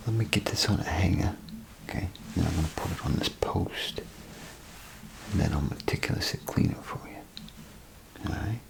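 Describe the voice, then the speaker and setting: A man doing a soft-spoken, half-whispered ASMR role play as a chatty, grumbling bathroom attendant, rambling and hesitant in a folksy way. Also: low voice, moderate pace, poor audio. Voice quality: gravelly, breathy half-whisper. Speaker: ASMR performer.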